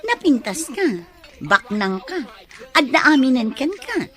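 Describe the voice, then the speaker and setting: A woman's voice: high-pitched, very animated, slow, full character voice. An actress playing a chatty young woman's best friend who gives advice, in an Ilocano radio drama. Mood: encouraging.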